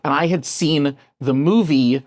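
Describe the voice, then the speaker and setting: A man's voice: fairly high pitch, measured pace, dry recording. A man in his forties interviewing a guest at a bookshop event. He talks in an enthusiastic, casual way, with lots of "like.". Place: bookshop event, in front of an audience.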